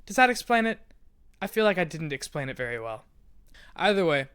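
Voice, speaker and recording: A man's voice: on the high side, big pitch swings, a little fast, fairly bright tone, clear, resonant tone, dry recording, clean studio sound. A man hosting in an informal, self-deprecating way, casually explaining a story to listeners. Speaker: podcast host and creator.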